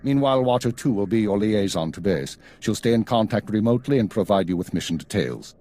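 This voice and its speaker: An actor playing a stern, weary intelligence chief in a video game, formal, clipped and measured. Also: male voice, on the low side, expressive, dark tone, natural voice. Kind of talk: video game cutscene acting.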